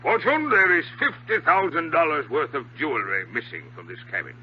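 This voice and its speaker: An actor playing a huge, domineering old ship's captain in a 1950s radio drama, stern, formal and commanding. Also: male voice, on the high side, lively intonation, a little fast, lightly acted. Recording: dull, noisy 1950s radio recording, hum.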